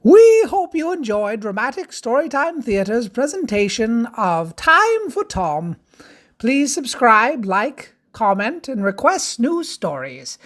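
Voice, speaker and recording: A woman narrating a rhyming children's picture book in a theatrical, sing-song, deliberate way. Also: a little high, big pitch swings, fairly bright tone. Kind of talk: dramatic read-aloud of a rhyming picture book.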